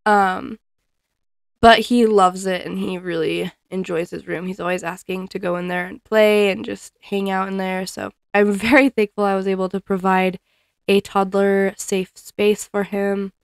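A woman's voice: medium pitch, little pitch movement, unhurried, vocal fry, clean tone, no echo. A young woman vlogging casually from her living room while folding laundry, chatting with frequent ums and little laughs, picked up by a camera set up across the room. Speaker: cleaning vlogger. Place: living room at home, indoors. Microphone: fixed camera across the room.

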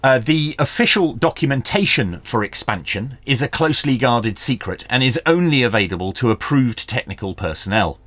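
A man's voice: mid-range voice, expressive, normal speed, hardly any pauses, fairly bright tone, no room sound. A middle-aged man hosting a hands-on product review and tutorial, explaining things step by step with dry asides. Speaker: radio review channel host. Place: small indoor home workshop.

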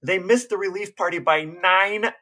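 A man's voice: high voice, moderate pace, pushed and bright. An American man co-hosting a podcast, telling a story in casual conversation. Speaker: podcast co-host.

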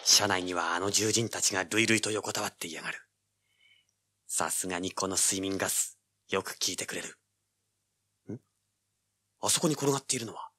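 An actor playing a cocky, tough young treasure hunter, rough-spoken and sardonic, narrating and speaking in a hard-boiled audio drama. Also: male voice, deep voice, moderate pace, pauses often, bright, forceful tone. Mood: tense, cool. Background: dramatic music.

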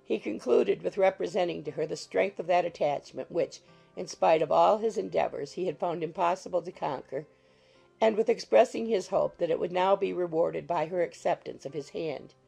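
A woman's voice: on the low side, moderate pace, vocal fry, warm tone, husky, faint music behind, low-quality recording. A woman reading scripted literary narration as an audiobook narrator.

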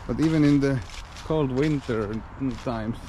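A man whose first language is Finnish explores an abandoned house outdoors, musing to his handheld camera at an unhurried pace. He often trails off and restarts as he notices things. Steady outdoor noise runs beneath his mid-pitched voice. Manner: musing, thinks aloud with restarts. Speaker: urban explorer. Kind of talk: casual walkthrough commentary. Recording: noisy outdoor camera audio.

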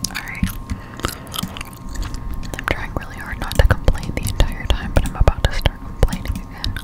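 Whispering young woman, close up. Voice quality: breathy unvoiced whisper. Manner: casual, slangy, unhurried chat. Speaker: gaming ASMR streamer. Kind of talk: whispered ASMR game commentary. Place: indoor room at home. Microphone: close-up Blue Yeti microphone.

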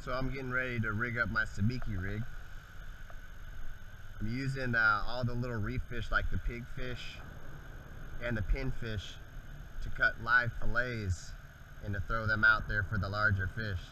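A man's voice: fairly low pitch, steady tone, moderate pace, long pauses, far from the mic, background noise. A man giving laid-back, casual commentary in short remarks while fishing outdoors from a kayak, recorded on a body-worn action camera. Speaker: kayak fisherman vlogger. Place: outdoors on open water in a kayak.

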